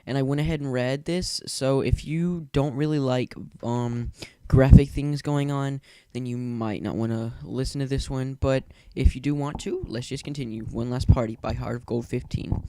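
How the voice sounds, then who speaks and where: A man reads a story aloud as narrator. His voice is mellow and of middle pitch, with a dramatic scripted delivery and a dry, close sound. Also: fairly even intonation, normal speed, hardly any pauses, clear, resonant tone, no room sound. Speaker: narrator, reader of fan fiction.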